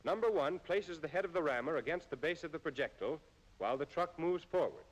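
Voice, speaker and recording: Higher-pitched American man, formal film narration. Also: expressive, rough recording. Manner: formal, measured delivery. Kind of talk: scripted instructional narration. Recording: old military film soundtrack.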